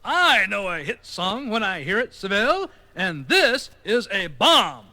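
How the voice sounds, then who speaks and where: Piercing, blustering record mogul. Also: male voice, high-pitched, sing-song, measured pace, sharp tone, full character voice. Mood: scornful. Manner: bellowing, gruffly domineering. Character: blustering, bossy male record company mogul. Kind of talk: children's read-along record acting.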